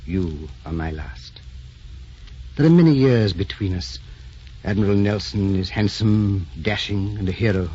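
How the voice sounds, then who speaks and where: An actor playing an aging, dignified aristocratic husband, grave and measured, in an old-time radio drama. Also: male voice, on the low side, dark tone, slightly rough. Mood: sad, resigned. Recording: dull, noisy old radio recording.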